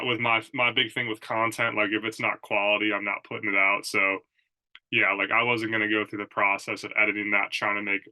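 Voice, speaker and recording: Stuffed-up nasal man, bright voice. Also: on the low side, low-quality recording. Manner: rambling, filler-heavy, says "like" and "dude" often. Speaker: podcast host and strength coach. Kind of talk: casual solo podcast talk. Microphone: Zoom recording.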